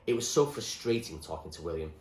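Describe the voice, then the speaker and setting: A man reading a novel aloud as a teacher would, in expressive story narration. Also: low-pitched, fast, echoey room, slight background noise.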